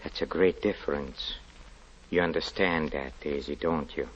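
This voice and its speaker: An actor playing a gaunt, half-mad lodger who preaches solemnly in a low, hesitating voice, in 1940s radio style. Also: male voice, unhurried. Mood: solemn, preaching. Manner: solemn preaching, formal and archaic. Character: gaunt, pious, half-mad male lodger obsessed with scripture. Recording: dull, lo-fi 1940s radio recording.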